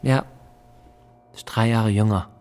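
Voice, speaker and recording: A man's voice: fairly low pitch, steady tone, slow pace, darkish tone, a little hiss or hum. A man acting in a radio drama as a withdrawn, brooding hospital patient in his early forties, speaking with reserve. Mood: reserved, polite. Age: 40s.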